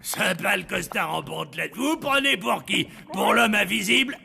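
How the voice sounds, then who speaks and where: Gravelly, grumpy monster guest. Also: male voice, high voice, lively intonation, normal speed, pushed and bright, a little hiss or hum, full character voice. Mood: sarcastic. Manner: curt, sarcastic complaining. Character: grumpy, demanding, sarcastic male monster hotel guest. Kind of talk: French cartoon dubbing.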